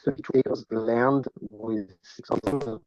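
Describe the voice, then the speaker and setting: A man from France with a heavy French accent, introducing himself haltingly on a video call and searching for words. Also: mid-range voice, fairly even intonation, darkish tone, no echo, muffled recording. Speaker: meeting participant.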